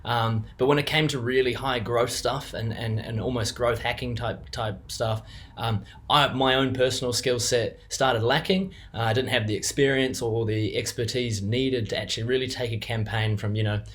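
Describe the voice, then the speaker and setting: A younger man with a New Zealand accent speaks at a middle pitch, talking off the cuff as a business owner recommending a service. He hesitates often, filling gaps with ums and restarting his sentences as he goes. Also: fairly even intonation, moderate pace, fairly bright tone. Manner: hesitant, many fillers and restarts. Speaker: business co-owner giving a client testimonial. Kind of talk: unscripted testimonial.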